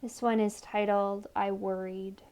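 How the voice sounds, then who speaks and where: A woman leading a guided meditation in a soothing, gentle manner, offering unhurried invitations. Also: medium pitch, flat delivery, clean tone. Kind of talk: guided meditation, body scan narration.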